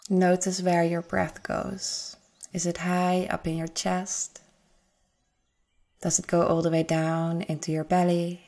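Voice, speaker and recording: A woman guides a meditation in a low, soft-toned voice. Her delivery is very slow and even, with little rise or fall. She sounds soothing and gentle, leaving space after each phrase. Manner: soothing, gentle, unhurried with long pauses. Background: forest nature sounds with birds.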